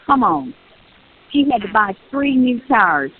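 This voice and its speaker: A woman's voice: on the high side, animated, measured pace, husky. An older woman with a Southern US accent, venting on a personal phone call and insistently repeating phrases for emphasis. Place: recorded prison phone call. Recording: tinny phone line with hiss.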